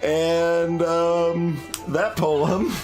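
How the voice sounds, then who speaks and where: A man's voice: a little high, little pitch movement, very slow, darkish tone, soft background music. A man giving casual, analytical game commentary, hesitating and correcting himself as he thinks aloud. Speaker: streamer playing through a game.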